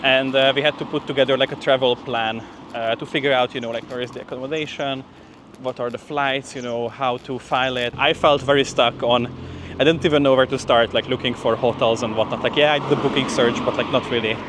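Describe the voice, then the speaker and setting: A young man with a mid-pitched voice records a casual vlog while walking. He sounds slightly winded and speaks in a loose, conversational way. Street noise is clearly audible around him outdoors. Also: steady tone, brisk, fairly bright tone. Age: young adult. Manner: informal, conversational, frequent "like" and "you know". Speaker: PhD student vlogger.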